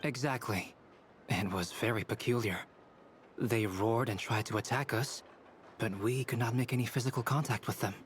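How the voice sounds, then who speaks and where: An actor playing a calm, detached young scholar in a video game, articulate and unhurried. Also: male voice, medium pitch, background noise, lightly acted.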